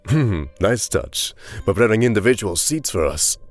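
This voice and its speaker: Man as suave wolfish leader, audiobook character, low voice, animated intonation, smooth playful politeness. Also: moderate pace, soft background music. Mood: sly, curious. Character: wolfish, suave, cautious male leader with refined tastes.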